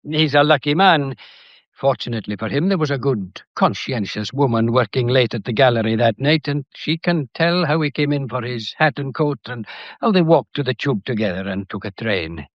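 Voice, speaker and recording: A slightly muffled, dry recording of a radio mystery. A man plays an avuncular older police inspector, folksy and fussy, whose lively melody keeps probing under the geniality. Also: mid-range voice, moderate pace, no echo, lightly acted. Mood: shrewd, satisfied. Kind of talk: radio drama acting.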